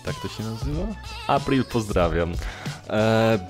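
A young man with a fairly low voice answers questions in a casual, halting way, correcting himself as he goes. He is close on a desktop mic, with music clearly playing behind him. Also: animated. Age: young adult. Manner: hesitant, self-correcting, informal with occasional swearing. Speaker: YouTuber and amateur dubbing voice actor answering viewer questions. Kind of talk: casual vlog Q&A. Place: small room at home, indoors. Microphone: desktop condenser mic with pop filter, close.

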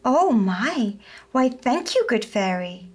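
A woman puts on a gentle queen from a children's puppet story. She sounds wistful and earnest, with a high voice. Her words come very slowly, in a singsong. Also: very animated, exaggerated voice. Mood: grateful.

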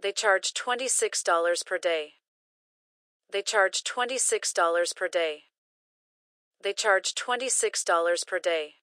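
A woman reading short English phrases slowly and carefully, each one repeated for learners to practice. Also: lowish voice, pushed and bright, dry recording, studio quality. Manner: careful, clearly enunciated. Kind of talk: slow repeated phrase drills.

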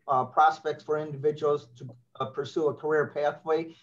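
Older man, panel moderator on a video call, fairly high voice, polite, slightly muffled line. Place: office.

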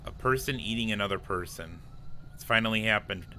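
A man's voice: on the low side, fairly quick, sharp tone, a little hiss or hum. A man streaming a police roleplay game, chatting casually in a joking, amused manner.

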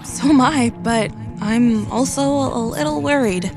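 Actress as young woman, caring and chatty, bit high, dry sound, music under it, audio drama. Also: measured pace. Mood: worried. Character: sociable, concerned young woman among family.

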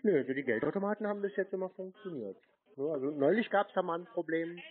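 Deadpan voice on a tinny phone line. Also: mid-range voice, fast. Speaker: prank call recipient, show host. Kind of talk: deadpan prank phone conversation. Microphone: telephone call.

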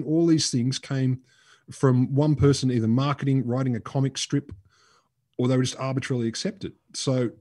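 Middle-aged Australian podcast co-host, husky, fast and emphatic. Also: male voice, medium pitch, a little mellow. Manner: storytelling, emphatic, gestures while talking. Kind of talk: casual science chat. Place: home room with shelves. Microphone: headset on a video call.

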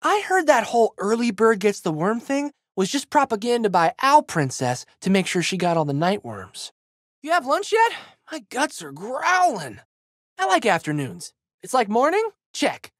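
A goofy teen boy sword hero for a video game, super animated, dude slang, dry close recording. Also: very high-pitched, moderate pace, dry recording, full character voice. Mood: casual, musing. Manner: exuberant, slangy surfer-dude boyishness. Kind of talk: video game character voice lines.

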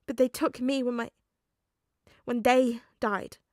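A woman's voice: on the high side, fairly quick, darkish tone, no echo, clean studio sound, natural voice. A woman voicing an upset teenage boy who argues tearfully and stubbornly. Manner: tearful, pleading, sniffling.

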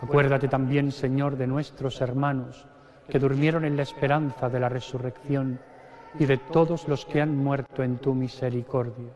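Middle-aged spanish priest reciting mass prayers, slow and solemn, big cathedral echo. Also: male voice, mid-range voice, level tone, a little mellow. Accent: Spanish (Spain). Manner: slow solemn liturgical recitation, parts chanted. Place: large stone cathedral. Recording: long cathedral reverb.

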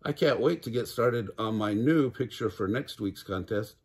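A man's voice: mid-range voice, moderate pace, husky, voice pushed up. A man voicing a friendly, forthright young girl in a children's storybook, polite and cheerful.

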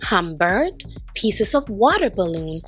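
A woman's voice: medium pitch, slow pace, soft background music. Woman reading a children's story aloud. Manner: animated, with voices for characters. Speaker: storybook reader. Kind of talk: expressive children's read-aloud.

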